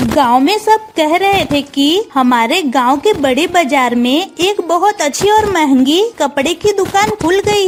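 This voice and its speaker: Very high voice, drawn-out pace, spiteful sister-in-law acted by a woman, sharp-tongued, cartoon drama. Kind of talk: Hindi moral-story cartoon dubbing.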